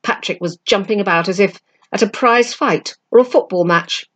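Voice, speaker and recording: A woman reading a children's storybook aloud as narration, with an expressive, dramatic delivery. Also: medium pitch, moderate pace. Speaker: amateur book reader.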